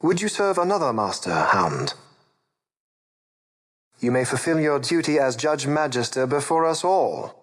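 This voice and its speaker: An actor playing a cold, imperious young imperial heir in a game cutscene, speaking in a measured, mocking, formal manner. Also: male voice, mid-range voice, big pitch swings, fairly bright tone. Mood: cold scorn. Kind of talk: dramatic video game cutscene acting.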